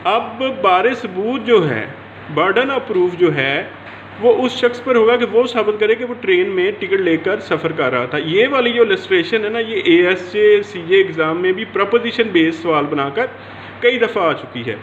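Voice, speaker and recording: High-pitched Pakistani man lecturing, echoey room. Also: lively intonation, a little slow, a bit bright. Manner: didactic, repeats and restates points. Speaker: law lecturer. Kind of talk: explanatory lecture to camera or mic, mixing in English legal terms. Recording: roomy echo, dull lo-fi sound, faint noise.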